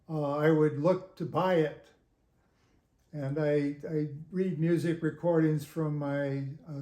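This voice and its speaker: A man in his seventies giving casual interview answers in a halting, measured way with frequent pauses. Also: fairly high pitch, mellow. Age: 70s. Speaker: guest reviewer of audio gear. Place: home living room with hi-fi gear. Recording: echoey room.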